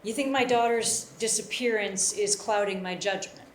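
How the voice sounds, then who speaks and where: A woman's voice: mid-range voice, moderate pace, natural voice. Woman playing a blunt, driven detective, echoey room. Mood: terse, pointed. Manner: blunt, confrontational. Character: hard-edged, driven detective haunted by a missing daughter. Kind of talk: live screenplay table read. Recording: echoey room, faint noise.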